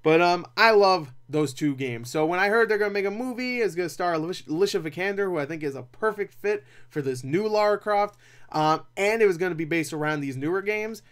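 A young man talking casually as a film reviewer, rambling and animated, with opinionated asides. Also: sits high, a little fast. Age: young adult. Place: small cluttered room at home.

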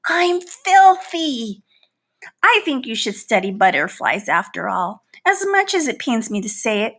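A woman's voice: on the high side, very animated, a little slow, a bit bright, full character voice. A woman voicing a proud, easily hurt housefly in a storybook, with theatrical, pleading and boastful delivery. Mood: confessing. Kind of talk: storybook read-aloud in character.

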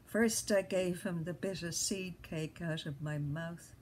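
A woman's voice: on the low side, a little slow, darkish tone, a little hiss or hum, close to an everyday voice. A woman performing a restless, earthy wife who lies awake musing, in a theatrical stream-of-consciousness monologue. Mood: tender, wistful.

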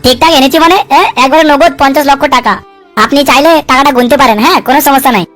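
A woman's voice: sits high, racing, fairly bright tone, soft background music. A woman voicing a wily, cheeky male sidekick in a comic cartoon, chattering, argumentative and coarse-mouthed. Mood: scheming. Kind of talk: comic cartoon dub dialogue.

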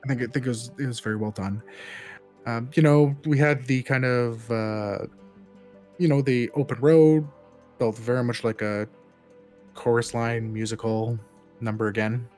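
A man talks as a podcast co-host in a casual film discussion. His pitch is middling, and he thinks aloud in chatty, loose fragments. Faint music plays behind him. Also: steady tone, normal speed, pauses often, a little mellow.

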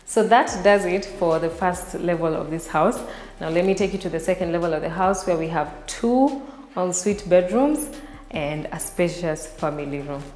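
A woman's voice: fairly low pitch, a little mellow. A woman from Kenya hosting a walking house tour, chatty and enthusiastic as she points out features to viewers. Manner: enthusiastic, chatty, addresses viewers as you guys. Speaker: real estate tour host. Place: large empty house, indoors. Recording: echoey empty rooms with long reverb.

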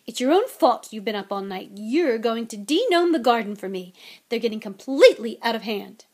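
A woman voicing a bossy mother witch in an audiobook, sharp and exclaiming, scolding and fussing fondly. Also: a little high, animated, brisk. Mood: stern, snapping. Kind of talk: audiobook character acting.

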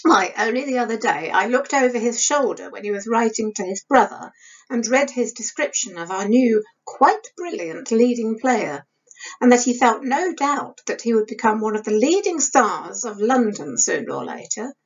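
A woman's voice: on the high side, expressive, normal speed, slightly rough, pitched above the performer's own voice. A woman voicing a good-natured mature actress and wife, fondly chiding in period speech for an audiobook. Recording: dull lo-fi webcam sound.

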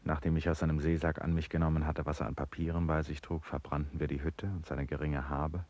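A man's voice: level tone, fast talker, darkish tone, highs cut off. An actor playing an anxious, reflective traveller who recounts his jungle journey in a literary radio play. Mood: somber, reflective. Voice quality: very low-pitched.

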